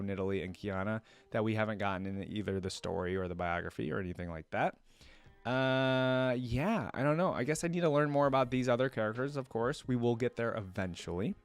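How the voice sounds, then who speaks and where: A man's voice: fairly low pitch, clean tone. A man hosting a reaction video, reading story text aloud and commenting in an informal, rambling, conversational way. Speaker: YouTube reaction video host.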